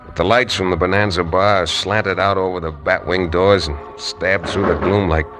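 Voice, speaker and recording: A wry, deep-voiced frontier lawyer. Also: male voice, steady tone, moderate pace, a bit bright, faint music behind. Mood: laid-back. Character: easygoing frontier lawyer, man, dry wit. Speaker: lawyer hero and narrator. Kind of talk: 1950s radio drama acting. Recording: old radio broadcast with crowd noise.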